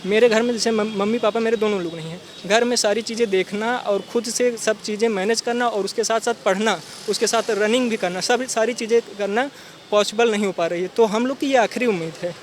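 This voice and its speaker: Male, late 20s, high-pitched. Earnest protester interview, recorded outdoors with light traffic noise. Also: brisk. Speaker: job candidate at a protest. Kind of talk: street interview. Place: outdoors by a city street.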